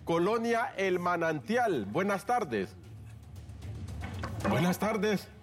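A man with a Honduran accent hosting a call-in radio show, brisk and wry between calls. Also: high-pitched, big pitch swings, music in the background. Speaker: radio host.

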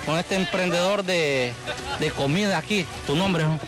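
Correspondent over music. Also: male voice, high-pitched, moderate pace. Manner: presenter-like, repeats phrases. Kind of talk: field interview.

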